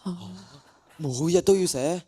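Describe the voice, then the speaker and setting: A woman's voice: lowish voice, a little slow, mellow. A teenage girl playing a questioning, plain-spoken student in a radio drama.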